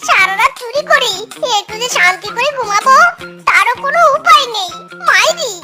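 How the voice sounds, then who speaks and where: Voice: extremely high pitch, lively intonation, normal speed. A performer voicing a whining, put-upon fox thief husband in a comic cartoon, grumbling with exaggerated exclamations. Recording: children's cartoon music behind the voice.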